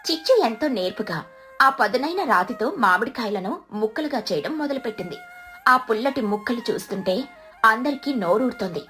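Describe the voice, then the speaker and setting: A woman's voice: a little high, animated, brisk, fairly bright tone, faint music behind. A woman narrator reading a scripted children's story.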